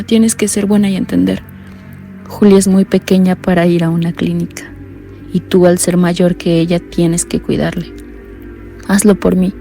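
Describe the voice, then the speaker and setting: A woman narrating a horror radio drama in a hushed, fearful first-person telling. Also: lowish voice, gravelly.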